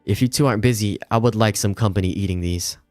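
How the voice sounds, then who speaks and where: A man voicing a polite, gentle young woman in a visual novel, courteous and soft-mannered. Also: fairly low pitch, quick pace, no echo, close to an everyday voice. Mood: polite request. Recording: music behind the voice.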